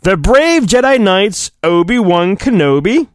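A man's voice: sits high, very animated, unhurried, no echo. A man hosting a podcast, casual and self-deprecating, reading a children's storybook aloud in a playful mock-dramatic way. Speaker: podcast host.

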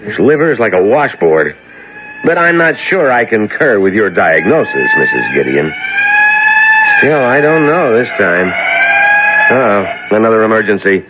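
A brisk, wry hospital doctor. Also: male voice, lowish voice, wildly swooping pitch. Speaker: emergency room doctor. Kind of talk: 1970s radio drama acting. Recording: muffled old radio broadcast, faint hiss.

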